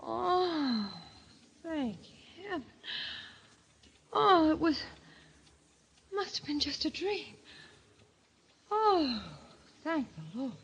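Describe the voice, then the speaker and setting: A fretful young wife shaken by nightmares in a vintage radio play. The voice is high and swoops widely, with breathless, halting, melodramatic delivery. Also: female voice, animated, gravelly, a bit bright, highs cut off, full character voice. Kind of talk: old-time radio drama acting.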